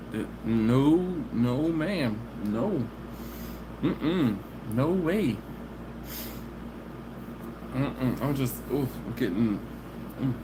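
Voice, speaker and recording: A man talks easygoing over a phone live stream, humming long "mm-mm"s between thoughts. His voice sits at a middle pitch for a man. A steady hiss runs under the sound. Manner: easygoing and chatty, with drawn-out "mm-mm" hums. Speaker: barbecue channel host. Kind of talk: casual live stream chat, reading viewer comments. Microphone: phone camera.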